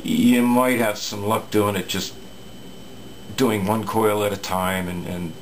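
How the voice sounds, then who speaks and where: A low, gravelly male voice explains an old radio repair in an unscripted, halting way, stopping often for fillers and restarts. A faint hiss of background noise sits under the recording. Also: fairly even intonation. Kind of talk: casual workbench repair talk.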